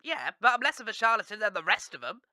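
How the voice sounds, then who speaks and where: A woman's voice: medium pitch, sing-song, fast talker, bright, forceful tone, dry recording, clean studio sound. A woman with a British accent playing a brazen, unapologetic fortune teller in a radio comedy. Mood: blunt, unapologetic. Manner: matter-of-fact, unapologetic. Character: brazen, blunt post-apocalyptic female fortune teller. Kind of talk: comic radio acting.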